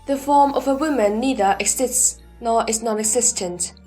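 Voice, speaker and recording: A woman playing an earnest, questioning holy disciple in a scripted sutra audio drama, speaking formally and in measured phrases. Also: on the high side, darkish tone, close to an everyday voice. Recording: background music under the voice.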